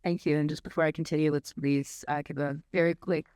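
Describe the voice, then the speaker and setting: Low-voiced woman moderating a panel. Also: flat delivery, a little mellow, clean tone, no room sound, studio quality. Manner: formal introductions, measured. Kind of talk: hosting a live panel. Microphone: handheld microphone.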